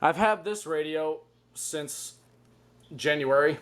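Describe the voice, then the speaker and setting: A man's voice: a little high, slow pace. A man casually showing off a hobby collection in an offhand, unscripted vlog style. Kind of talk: casual show-and-tell vlog. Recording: loud background noise, clicks.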